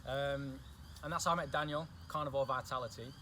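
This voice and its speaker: Young English man, quick and chatty, crisp bright tone, slight background hiss. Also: medium pitch, steady tone. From England. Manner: chatty, repeats himself, says "do you know what I mean". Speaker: guest. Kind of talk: casual chat.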